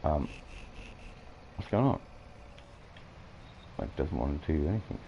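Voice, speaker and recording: Very deep, gravelly Australian man. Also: expressive, highs cut off, a little hiss or hum. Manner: rambling, halting, many fillers. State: croaky from coughing. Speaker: gameplay streamer. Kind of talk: casual farming-game commentary.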